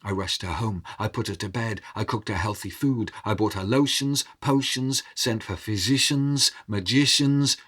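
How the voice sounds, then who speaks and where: A man narrating as an oral storyteller, theatrical and deliberate, in short measured phrases. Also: lowish voice, fairly bright tone, breathy edge. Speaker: storyteller, podcast host.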